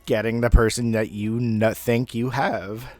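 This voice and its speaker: A man in his thirties telling a personal story casually, in a rambling, halting way with some swearing. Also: mid-range voice, little pitch movement, no echo, soft background music. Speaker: amateur storyteller.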